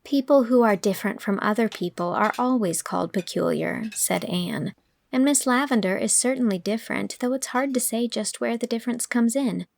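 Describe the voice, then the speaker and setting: A woman narrating an audiobook drama in a storytelling style, reading both the description and gentle dialogue. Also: fairly high pitch, normal speed, vocal fry.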